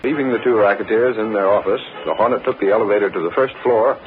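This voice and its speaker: A man's voice: lowish voice, fast talker, a little hiss or hum, close to an everyday voice. A man narrating an old-time radio adventure serial in a scripted, dramatic style. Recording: dull old radio broadcast recording. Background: music.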